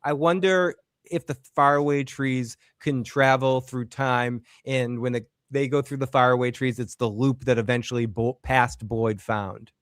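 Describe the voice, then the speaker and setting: A man with a Boston accent hosting a casual call-in show, talking in a quick, chatty way and swearing now and then. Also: medium pitch, steady tone, dry recording, studio quality. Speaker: host taking calls.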